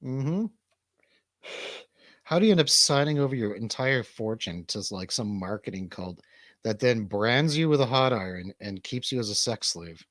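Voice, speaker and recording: A man's voice: medium pitch, expressive, normal speed, fairly bright tone, no echo, studio quality. A man hosting a casual livestream chat, laid-back, slangy and rambling. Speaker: host of a livestream show.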